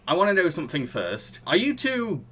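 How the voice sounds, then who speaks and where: A podcast host talking in a casual, enthusiastic and expressive way. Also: medium pitch, normal speed, slightly rough.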